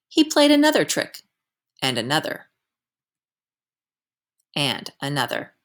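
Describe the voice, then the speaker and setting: A woman reading a children's picture book aloud as a teacher would, with expressive storytelling delivery. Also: lowish voice.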